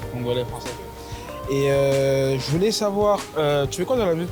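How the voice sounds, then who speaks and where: A man's voice: medium pitch, lively intonation, moderate pace. A man in his twenties making casual chat, politely asking questions and echoing answers back. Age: 20s. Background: music.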